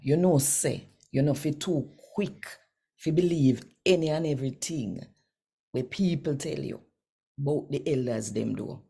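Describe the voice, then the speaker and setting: A Jamaican woman with a very low voice reads scripture in a devotional style. Her tone is dark and soft-edged with some huskiness, and she takes her time, speaking quite slowly. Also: creaky, husky. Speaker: host reading daily scripture. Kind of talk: scripture reading and devotional talk in Jamaican Patois.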